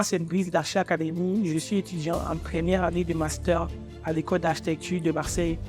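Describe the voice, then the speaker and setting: A young man in his twenties with a fairly high-pitched voice speaks informally to his viewers, as a student vlogger would. He is from Africa and a native speaker of English. Faint music plays behind him. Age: 20s. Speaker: architecture student presenting his own channel. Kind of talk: personal vlog, talking to viewers.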